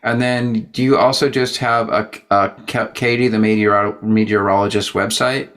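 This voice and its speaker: A man's voice: on the low side, steady tone, moderate pace, fairly bright tone. Man hosting a casual, rambling interview. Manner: rambling, jokey, tangent-prone. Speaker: interview host and show producer.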